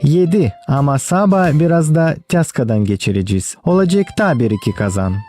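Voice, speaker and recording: A man's voice: a little high, expressive, brisk, dark tone. A man voicing a practical village worker in a cartoon, explaining chores in a matter-of-fact way. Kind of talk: cartoon character dialogue. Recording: music behind the dialogue.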